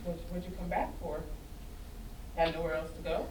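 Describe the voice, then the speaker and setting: A woman's voice: on the low side, flat delivery, moderate pace, close to an everyday voice. A woman playing an angry, wounded mother confronting her son in a stern, insistent staged reading, repeating words for emphasis. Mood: hurt, firm. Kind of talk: staged play reading, naturalistic dramatic acting. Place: indoor performance space before an audience. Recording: roomy stage, distant mic, faint noise.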